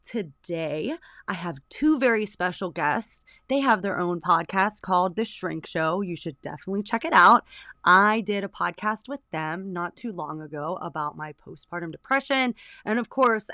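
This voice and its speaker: A woman's voice: mid-range voice, expressive, normal speed, bright, forceful tone, no echo, studio quality. A woman host opening her podcast alone, speaking upbeat and straight to her listeners. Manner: upbeat, direct address to listeners. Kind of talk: solo podcast intro.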